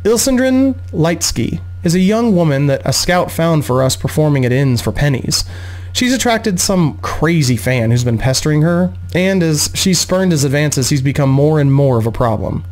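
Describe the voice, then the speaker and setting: A man voicing a gracious, composed noblewoman mother with a measured, genteel manner in a fantasy audiobook. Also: on the high side, expressive, a little mellow, lightly acted, poor audio.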